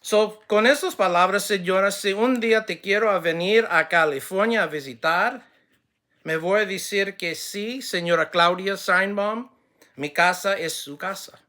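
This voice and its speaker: A man who speaks English natively, making a formal direct address to camera in halting, non-fluent Spanish full of restarts, switching to English, earnest and ending with blessings. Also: high voice, bright, forceful tone.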